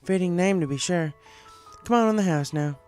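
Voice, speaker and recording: Man voicing a high-pitched folksy farm pony cowgirl. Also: moderate pace, a little mellow, clean tone, soft background music, natural voice. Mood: friendly, welcoming. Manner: folksy, welcoming, plain-spoken. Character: friendly hospitable young farm mare, cartoon cowgirl pony.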